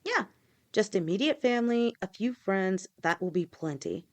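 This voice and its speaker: Young woman as a patient, protective snake-woman girlfriend in an audio roleplay; soft, reassuring, mid-pitched. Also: lively intonation, moderate pace, natural voice. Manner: soft, reassuring, speaks directly to a partner.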